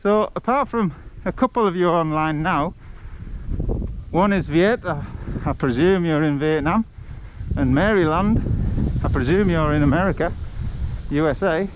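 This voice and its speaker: A man's voice: fairly high pitch, measured pace. A man chatting casually and wryly in a rambling way as he hosts a walking livestream. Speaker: livestream host and travel vlogger. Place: outdoors, walking along a village road. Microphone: action camera on a head strap. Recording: muffled outdoor audio with loud wind noise. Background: wind and ambient outdoor noise.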